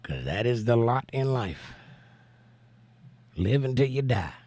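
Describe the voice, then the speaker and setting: Hoarse American man hosting a livestream. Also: medium pitch, sing-song, slow pace, warm tone. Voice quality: hoarse, strained. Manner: folksy, joking, preachy asides. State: laryngitis, losing his voice. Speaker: livestream host. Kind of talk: casual livestream chat, reading viewer comments.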